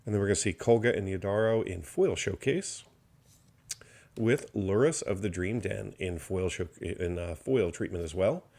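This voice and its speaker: Low gravelly man listing cards. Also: normal speed. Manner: steady card-by-card listing, mild enthusiasm. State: slightly hoarse, recovering from illness. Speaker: card box opener. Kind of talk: unboxing commentary.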